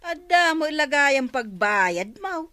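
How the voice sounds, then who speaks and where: An actress with an Ilocano accent playing a stingy, combative village store owner in a radio comedy drama. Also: female voice, high-pitched, very animated, unhurried, slight background noise, heavily acted. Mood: angry. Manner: combative, sharp-tongued. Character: stingy, quarrelsome village store owner woman, scolding neighbours.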